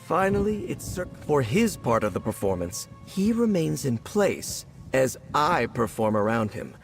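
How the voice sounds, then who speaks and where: A man's voice: mid-range voice, very animated, measured pace, gravelly. An actor playing a flamboyant, earnest animal-act trainer in a video game, with a pompous, florid, showman's manner. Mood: showy, earnest. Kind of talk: theatrical video game dialogue. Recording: game voice under music.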